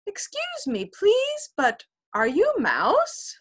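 A woman's voice: extremely high pitch, huge pitch swings, takes it slowly, a bit bright, voice pushed up, full character voice. A woman voicing a brave little male kitten who speaks politely and boasts eagerly.

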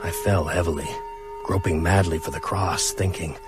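An actor playing a grave man narrating his own horror story in a radio drama, measured and tense. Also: sits low, fairly even intonation, loud music behind the voice, natural voice.

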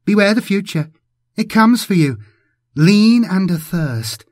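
A man's voice: high voice, expressive, measured pace, no echo, studio quality, voice pushed up. A man voicing an eerie, sad female mutant who speaks in cryptic prophecy, as an audiobook character. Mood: ominous. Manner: cryptic, portentous.

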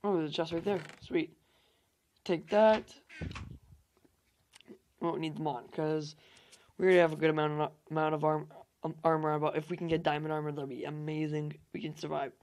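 A young man hosting a gaming channel, hoarse from losing his voice, rambling through casual gameplay commentary with fillers and swearing. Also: fairly high pitch, little pitch movement, a little fast, long pauses, darkish tone.

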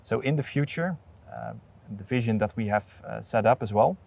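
A man in his thirties speaking in an outdoor interview, earnest and measured, with frequent restarts, on a lapel mic. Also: medium pitch, lively intonation, gravelly, a little mellow. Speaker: port authority representative. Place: outdoors at an industrial port site.

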